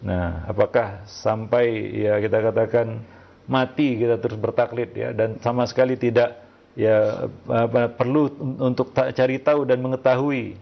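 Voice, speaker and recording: A male religious teacher answering listener questions in a measured, hesitant way, often tagging his phrases with short fillers. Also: mid-range voice, steady tone, gravelly. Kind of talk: religious Q&A lecture. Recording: muffled lo-fi audio.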